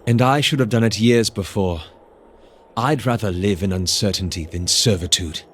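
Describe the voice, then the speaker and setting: A man's voice: lowish voice, moderate pace, a bit bright, a little hiss or hum, natural voice. An actor playing a proud, disillusioned male former priest in a video game, speaking firmly and resolutely. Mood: defiant, resolute. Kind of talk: dramatic video game dialogue.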